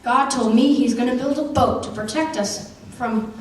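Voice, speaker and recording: Woman as faithful old husband, earnest, puppet show, high voice, distant and faintly noisy. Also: normal speed, lightly acted. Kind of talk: amateur puppet show acting. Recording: echoey room from far off, noisy.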